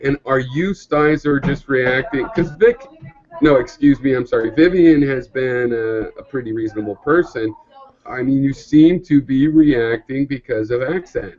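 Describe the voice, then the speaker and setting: A man's voice: medium pitch, a little slow, darkish tone. An American man in an online debate, interjecting often and rambling with fillers. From United States. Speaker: debate participant. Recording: dull lo-fi voice chat audio.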